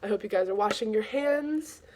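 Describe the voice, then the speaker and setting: A woman reading aloud to children in an informal, expressive storytelling style. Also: medium pitch, moderate pace, slight background noise, rough recording.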